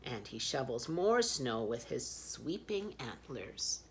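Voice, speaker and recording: A woman's voice: rumbling low, big pitch swings, takes it slowly, background noise. A woman reading picture books aloud expressively as a children's storyteller.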